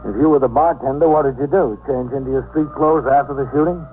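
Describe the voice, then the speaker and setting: An actor playing a tough, terse, world-weary New York police captain in a 1950s radio drama. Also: male voice, mid-range voice, fast, warm tone, faint music behind, close to an everyday voice. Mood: probing. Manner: terse, hard-boiled. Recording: faintly noisy 1950s radio recording.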